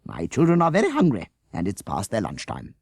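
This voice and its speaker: A man voicing a prim schoolteacher woman who speaks crisply and indignantly. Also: on the low side, wildly swooping pitch, fairly quick, a little mellow, no echo, clean studio sound, voice pushed up, heavily acted.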